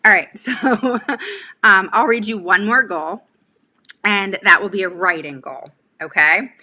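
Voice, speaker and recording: A woman's voice: mid-range voice, unhurried, bright, forceful tone. A woman in her forties giving a casual, chatty livestream lesson, speaking emphatically and often checking in with the listener. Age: 40s. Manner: emphatic, chatty, checks in with "all right?" and "okay?". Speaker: host, special education advocate giving advice. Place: small room at home, indoors.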